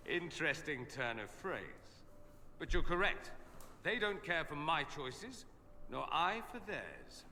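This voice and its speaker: A man's voice: fairly high pitch, big pitch swings, takes it slowly, pushed and bright, long reverb tail, a little hiss or hum, full character voice. A male actor playing a proud, sardonic young nobleman in a video game, with an arch, theatrical, scornful wit. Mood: wry, bitter.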